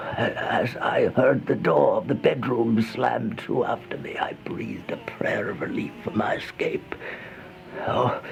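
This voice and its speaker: Terrified, stammering horror narrator, creaky voice. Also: male voice, mid-range voice. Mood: hushed dread. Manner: stammering, gasping, overwrought, melodramatic delivery. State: panting, out of breath. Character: terrified, feverish man trapped with monstrous flies, horror narrator. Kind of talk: old-time radio horror monologue. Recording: dull, noisy old radio recording.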